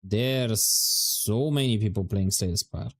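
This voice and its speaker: A man streaming a game, chatting casually and musing aloud, his voice turning hoarse and scratchy. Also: on the low side. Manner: relaxed, musing aloud, drawn-out words. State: voice going hoarse, scratchy throat. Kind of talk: casual livestream chat while gaming.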